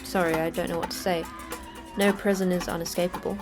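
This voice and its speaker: An actress playing the terse, dry manager of a secret prison for monsters in a sci-fi horror audio drama, speaking in a clipped, matter-of-fact way. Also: female voice, on the low side, level tone, brisk, music in the background, natural voice. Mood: terse, factual.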